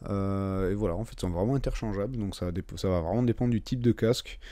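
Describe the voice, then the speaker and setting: Male reviewer, soft dark timbre, informal and self-deprecating, chatting through a hands-on demo with the odd mild swear. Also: fairly low pitch, quick pace. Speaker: product reviewer. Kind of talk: casual hands-on review chat.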